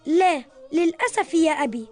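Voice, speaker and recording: A woman's voice: very high-pitched, lively intonation, normal speed, a little mellow, faint music behind. A woman voicing a caring, dependable boy in a children's cartoon, earnest and considerate in formal Arabic. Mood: concerned.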